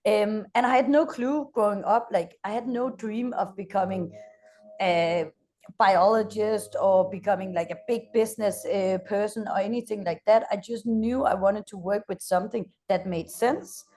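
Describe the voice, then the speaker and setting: A woman with a Danish accent, a founder in her thirties, answers interview questions with lively energy. She stops often on "uh" as she looks for English words. Her pitch sits in the middle for a woman. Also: moderate pace. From Denmark. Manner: energetic, frequent "uh" hesitations. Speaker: guest, company founder. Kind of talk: interview conversation.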